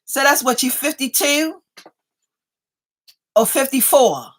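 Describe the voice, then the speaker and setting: A woman, hosting a relaxed livestream chat. Her voice sits a little high and she takes her time, drawing out her words as she teases and praises her viewers. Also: slow pace. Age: 50s. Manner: playful, chatty, keeps addressing viewers as y'all. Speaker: livestream host. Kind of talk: casual live chat reading viewer comments.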